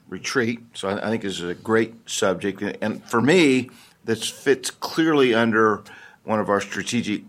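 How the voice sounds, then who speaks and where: Low-voiced man chairing a board meeting. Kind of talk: formal meeting discussion.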